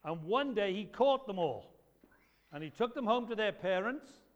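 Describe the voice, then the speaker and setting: Loud, lively preacher in echoey church. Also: male voice, high-pitched, moderate pace, highs cut off. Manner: lively, emphatic, storytelling to children. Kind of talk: children's talk at a church service. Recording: echoey hall reverb.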